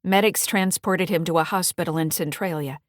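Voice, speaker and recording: A woman voicing a calm, matter-of-fact female FBI agent who speaks in a level, businesslike way. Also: on the low side, moderate pace, no echo, clean studio sound, natural voice.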